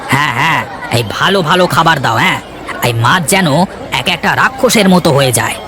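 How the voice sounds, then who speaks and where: A man's voice: fairly high pitch, expressive, quick pace, a crowd in the background, background noise, lightly acted. A man playing an eager, gullible and jealous younger brother in a village cartoon, repeating himself insistently. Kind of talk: Bangla cartoon voice acting.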